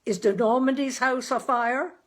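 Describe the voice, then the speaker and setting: Woman playing a dry, gruff older father. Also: high-pitched, normal speed, voice pushed up. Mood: sarcastic. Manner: dry, terse, sardonic. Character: gruff, dry-witted older New England father, a proud sailor. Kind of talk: audiobook character dialogue.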